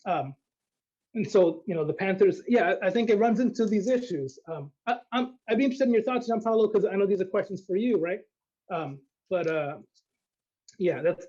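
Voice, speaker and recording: A man speaking as an academic guest in an unscripted discussion, thinking aloud in a hesitant, halting way. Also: high voice, mellow, husky, highs cut off.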